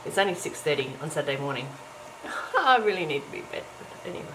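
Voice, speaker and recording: Middle-aged woman, low croaky morning voice, chatty crafting vlogger full of ums and little laughs, camera-mic sound with audible hiss. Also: very animated, fast, fairly bright tone. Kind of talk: casual chat while crafting. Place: home, early morning. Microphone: camera's built-in mic. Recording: noisy home camera recording.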